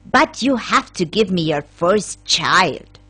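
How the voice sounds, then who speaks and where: A woman's voice: lowish voice, very animated, slow, sharp tone, husky, faint music behind, full character voice. A woman voicing a wicked, angry old witch in a children's fairy tale, commanding and threatening. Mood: menacing. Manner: screaming, commanding threats and calls. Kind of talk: storybook character voice.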